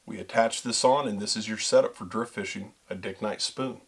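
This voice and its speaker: A man's voice: on the low side, a little fast, vocal fry, background noise. Instructive man walking through fishing setup. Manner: step-by-step instructive, addresses viewers as folks and guys. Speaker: fishing pro staffer and co-host. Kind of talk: how-to demonstration. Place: small studio set with backdrop.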